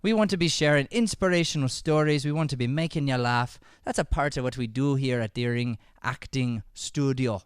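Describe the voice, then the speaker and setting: A man's voice: mid-range voice, fairly quick, few pauses, clear, resonant tone, no echo, clean studio sound. A man hosting a live improv show, chatting informally and encouraging the audience. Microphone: podcast microphone.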